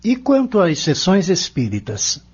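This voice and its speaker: A man's voice: sits high, huge pitch swings, a little slow, breathy edge, exaggerated voice. A Brazilian man acting in an audio novel as a curious, attentive pupil who questions his teacher in a plain-spoken way. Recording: dull, lo-fi audio.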